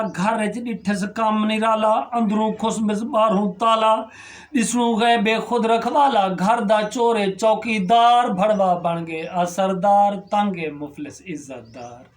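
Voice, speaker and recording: High-pitched Saraiki man reciting verse slowly. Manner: declamatory verse delivery with a recurring falling refrain. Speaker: poet reciting own satirical verse. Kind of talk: rhythmic Saraiki poetry recitation.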